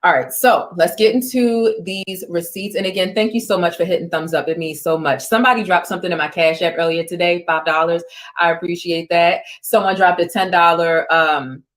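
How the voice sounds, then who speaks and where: Woman, slightly low pitch, stream host, conversational commentary, reading aloud, informal asides. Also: fairly quick. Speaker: host of an online news stream.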